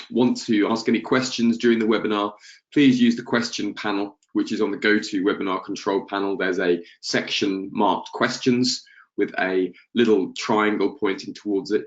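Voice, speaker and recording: A low-pitched man with a blocked nose from the flu hosts a market-analysis webinar, often stumbling over his words. His voice comes through a dull, lo-fi webinar microphone. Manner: hesitant, many ums and restarts. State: congested from flu, coughing.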